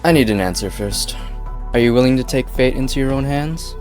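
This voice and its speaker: A man playing a smooth-talking, scheming corporate businessman with a theatrical, coaxing manner in an amateur game dub. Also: mid-range voice, fairly quick, a little mellow, close to an everyday voice. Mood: persuasive. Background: background music.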